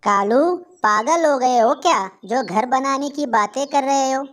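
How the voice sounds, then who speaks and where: A woman's voice: high voice, a little slow, a bit bright. A woman voicing a bossy, scheming crow wife in a cartoon, scolding and commanding.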